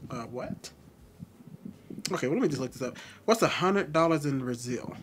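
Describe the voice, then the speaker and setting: A man hosting a casual video-call chat, teasing and blunt in an animated way. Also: on the high side, normal speed, slight background noise. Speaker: commentary channel host.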